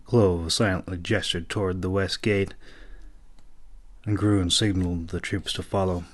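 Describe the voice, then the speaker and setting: Slow, lowish-voiced man narrating fantasy. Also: steady tone. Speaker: author reading own audiobook. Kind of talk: dramatic scripted fantasy narration.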